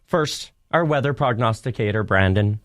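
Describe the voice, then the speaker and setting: A man's voice: fairly low pitch, animated, normal speed, no echo, clean studio sound. A man hosting a morning radio show, upbeat and eager in the banter.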